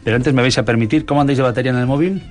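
A man hosting a radio show, chatting in a brisk, effusive way. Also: medium pitch, faint music behind. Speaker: radio host. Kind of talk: radio chat.

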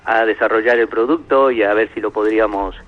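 A man's voice: fairly low pitch, fairly even intonation, fairly quick, a little hiss or hum. Argentine man, dull lo-fi sound. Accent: Argentine Spanish. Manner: hesitant, many fillers and restarts. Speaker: guest, sauce maker.

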